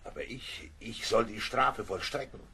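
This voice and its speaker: Anxious sailor, low tense voice, hesitant, deferential, seafaring audio drama. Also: male voice, normal speed, sharp tone, slightly rough, heavily acted. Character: anxious, subordinate boatswain's mate on a sailing ship.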